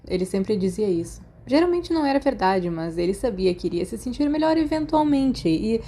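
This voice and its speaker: Brazilian woman reading a story, mellow voice. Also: mid-range voice, very animated, brisk, slight background noise. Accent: Brazilian Portuguese. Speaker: audiobook reader. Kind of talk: scripted storytelling narration with character dialogue.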